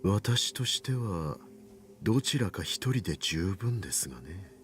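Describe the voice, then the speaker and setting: An actor playing a suave villain in a Japanese visual novel, coldly polite and menacing, speaking formally and deliberately. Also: male voice, low voice, sing-song, a little hiss or hum. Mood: smugly ambitious.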